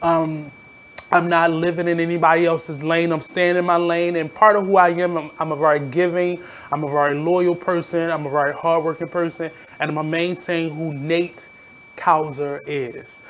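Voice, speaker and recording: A man in his thirties from Baltimore with a naturally high voice, hosting his radio show in a candid, blunt, reflective monologue. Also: flat delivery, normal speed, a bit bright, slight background noise. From Baltimore, USA. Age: 30s. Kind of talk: casual monologue.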